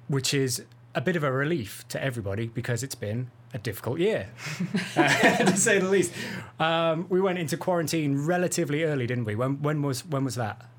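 Male host, mid-range voice, informal chatty interviewing. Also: medium pitch. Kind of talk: casual conversational interview.

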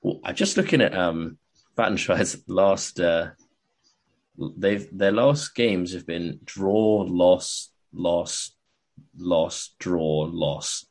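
A man co-hosting a podcast, chatting casually in a hesitant way with many pauses and restarts. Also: deep voice. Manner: hesitant, many ums and restarts, chuckles often. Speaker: podcast co-host. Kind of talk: casual chat.

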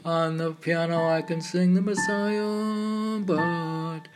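A man reading his own meditative poetry in declarative phrases with pauses, in a recitation style. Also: on the high side, fairly even intonation. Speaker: poet reading own work. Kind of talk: poetry recitation over background music. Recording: music playing under the voice. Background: background music.

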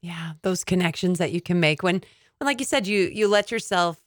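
Low-voiced enthusiastic podcast host woman. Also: brisk, dry recording, studio quality. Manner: enthusiastic, leading questions. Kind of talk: podcast interview.

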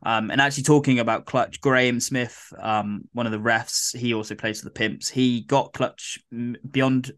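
Croaky man rambling on a podcast. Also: medium pitch, steady tone, moderate pace, few pauses, a bit bright. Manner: rambling, many fillers and restarts. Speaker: podcast co-host. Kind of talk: casual podcast chat.

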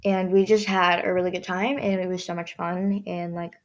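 A teenage girl chatting on her solo podcast, voice hoarse and scratchy. She rambles with lots of fillers and restarts, in a slightly low register for her age. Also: level tone, moderate pace. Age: teens. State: hoarse, strained voice. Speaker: podcast host. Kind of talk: casual solo podcast chat.

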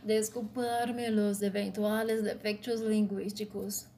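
Slow-reading woman with Brazilian Portuguese accent. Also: medium pitch, level tone, mellow, a little hiss or hum. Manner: slow, deliberate reading in short phrases. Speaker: blogger. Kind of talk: read-aloud commentary.

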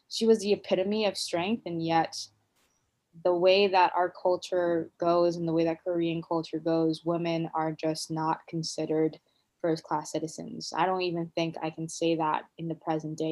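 A woman talks through her own story on a podcast, in a slightly low voice. She is reflective and unhurried, pausing often on "um" and "you know" and restarting her sentences as she gathers her thoughts. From United States. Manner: reflective, many fillers and restarts. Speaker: podcast co-host. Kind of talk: casual conversational storytelling.

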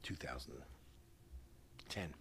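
A middle-aged man with a New York accent, casually explaining things as a podcast co-host in a measured, halting way. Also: a bit bright, a little hiss or hum.

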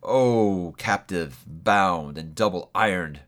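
A doomed old ghost in chains, as a male narrator puts him on, grieving and solemn. He draws every word out, heavy and mournful, in a low voice. It comes from a storybook reading. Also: lively intonation, a bit bright. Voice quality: slow, heavy, mournful delivery.

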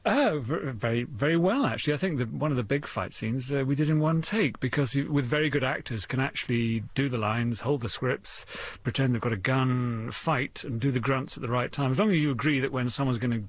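A man, a radio drama producer, giving brisk, assured answers in an interview. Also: mid-range voice, no echo.

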